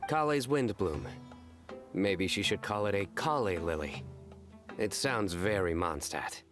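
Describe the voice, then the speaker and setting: A man voicing a stoic, earnest young man in an anime game, speaking in a formal, solemn deadpan. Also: low-pitched, a little slow, over music. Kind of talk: anime game dub acting.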